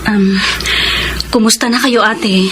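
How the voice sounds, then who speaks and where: A woman's voice: fairly high pitch, steady tone, slow pace, background noise. A woman acting a caring middle-aged mother and wife in a Filipino radio drama, with a Filipino accent. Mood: concerned.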